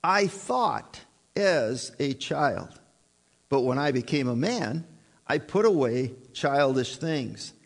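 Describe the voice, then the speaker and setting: A man's voice: medium pitch, expressive, takes it slowly, gravelly. A man preaching a sermon in a conversational way, often putting questions to the congregation. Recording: reverberant church hall.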